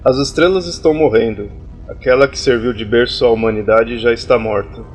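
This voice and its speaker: A man's voice: mid-range voice, a little fast, faint music behind, close to an everyday voice. Man as earnest, questioning immortal mind. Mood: troubled. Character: curious, anxious immortal disembodied human mind. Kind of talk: audio drama acting.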